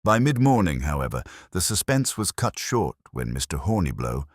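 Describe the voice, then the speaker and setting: Male audiobook mystery narrator, very deep, measured and literary, lively intonation, dry studio sound. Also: no echo.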